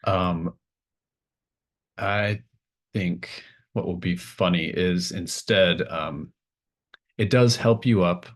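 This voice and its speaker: A man with a low-pitched voice acts as game master at a tabletop session. He narrates off the cuff and casually, in halting phrases that he builds as he goes.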